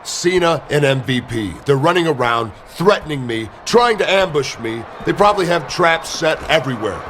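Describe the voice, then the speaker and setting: A man's voice: fairly high pitch, lively intonation, moderate pace, noisy background, close to an everyday voice. A man playing an arrogant, self-important and paranoid champion wrestler in a video game cutscene. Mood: agitated, demanding. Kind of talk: scripted game cutscene dialogue.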